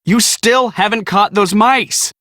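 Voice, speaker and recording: A cocky, high-voiced young American photographer. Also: male voice, slow, sharp tone, dry recording, studio quality, heavily acted. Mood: pestering. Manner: slangy, boastful, dramatic. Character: cocky, excitable young storm-chasing photographer, squeamish about mice. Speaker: video game character, storm team media guy. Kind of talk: animated casual game dialogue.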